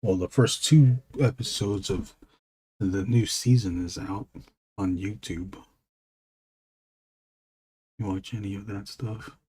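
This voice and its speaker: A man with a husky voice, chatting casually with his live-stream viewers as he draws, rambling and asking them questions. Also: on the low side, fairly even intonation, slow pace, pauses often, darkish tone. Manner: rambling, talks to chat readers. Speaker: illustrator streaming his drawing. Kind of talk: casual live-stream chat while drawing.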